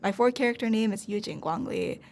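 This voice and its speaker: A woman's voice: a little high, a little fast, a little mellow. A young woman from the United States in a casual chat, telling stories in a hesitant, self-deprecating way with frequent "um". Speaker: guest teacher.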